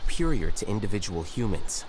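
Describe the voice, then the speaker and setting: A man playing a calm, blunt young scholar in a video game, measured and matter-of-fact as he speaks. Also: fairly low pitch, over music, rough recording. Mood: detached, explanatory.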